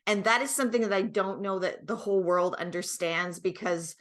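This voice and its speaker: A woman's voice: mid-range voice, brisk. A middle-aged woman, a guest expert explaining things conversationally and emphatically, often checking that the listener follows. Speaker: guest expert, product creator.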